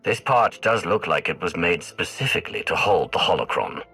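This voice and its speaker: A man playing a determined, commanding Sith adventurer in a video game, terse, with a rough husky voice. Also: sits low, expressive, normal speed, bright, forceful tone, exaggerated voice. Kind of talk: scripted game voice acting. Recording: music behind the voice.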